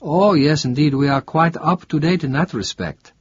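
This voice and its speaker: A man's voice: fairly high pitch, moderate pace, slightly rough, dull audio, voice pushed up. A man voicing a cheerful middle-aged bartender. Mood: genial.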